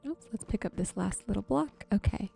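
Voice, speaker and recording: A woman doing casual, soft-spoken ASMR commentary while playing a game, murmuring to viewers in an unhurried way. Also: mid-range voice, expressive, creaky. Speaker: video creator and host. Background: music.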